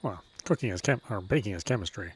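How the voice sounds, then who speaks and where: A man's voice: lowish voice, expressive, fast talker, noisy background. A man giving casual let's play commentary, rambling and musing aloud with a wry touch. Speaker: gaming streamer. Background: game music.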